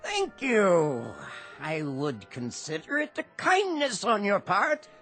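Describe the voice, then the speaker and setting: Slow, grandiloquent old barfly. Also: male voice, sits high, wildly swooping pitch, fairly bright tone, faint music behind, exaggerated voice. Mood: grandiloquent, tipsy. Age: older. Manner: theatrical, florid, slow declaiming. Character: genial old barfly, grandiloquent, rambling drunk. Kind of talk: old-time radio drama acting.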